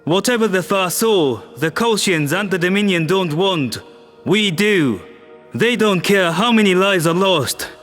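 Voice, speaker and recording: A man's voice: sits high, animated, measured pace, fairly bright tone, soft background music. A man voicing a human diplomat making an earnest, persuasive speech to an alien assembly.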